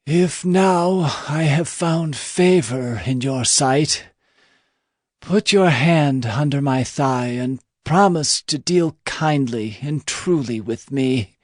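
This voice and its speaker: Aged male patriarch, weary and solemn, unhurried, dry close sound, Bible audio drama. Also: on the high side, darkish tone, no echo. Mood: solemn pleading.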